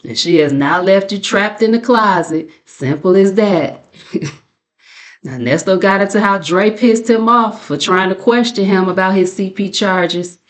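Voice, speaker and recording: A woman's voice: medium pitch, a little slow. An American woman hosting a commentary channel, giving a casual gossip recap in a sassy, mocking, colloquial way. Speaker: commentary channel host and narrator.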